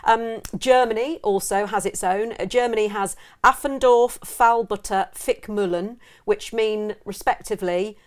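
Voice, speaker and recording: A woman's voice: medium pitch, unhurried, hardly any pauses. A middle-aged English woman co-hosting a podcast, chatting casually and giggling as she reads out odd facts. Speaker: podcast co-host. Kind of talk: casual chat.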